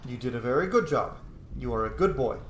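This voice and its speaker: A man's voice: medium pitch, animated, brisk. A man hosting a game playthrough with casual, playful, sweary commentary. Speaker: gaming video host. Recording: echoey room, faint noise.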